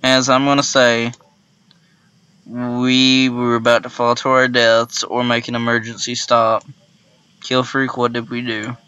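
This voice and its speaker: A man co-hosting a storytelling series, casually improvising as he goes, on a slightly muffled low-fi microphone. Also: completely flat delivery, unhurried, bright, forceful tone, clean tone, no echo.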